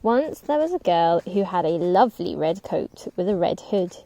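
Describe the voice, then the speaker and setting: A woman's voice: lowish voice, expressive, normal speed, dark tone, a little hiss or hum. A woman telling a story to young children as a storybook reader.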